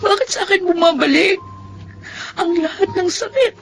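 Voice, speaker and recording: A woman's voice: extremely high pitch, moderate pace, creaky, a bit bright, soft background music, heavily acted. An actress playing a bitter, wounded woman out for revenge in a Filipino melodrama, with anguished, emphatic delivery. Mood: sorrowful. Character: bitter, wounded, vengeful woman betrayed in love.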